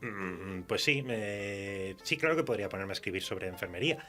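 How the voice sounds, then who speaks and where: A man with an accent from Spain speaking as a guest author in a conversational radio interview, halting as he thinks aloud. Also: fairly low pitch, pushed and bright, faint music behind.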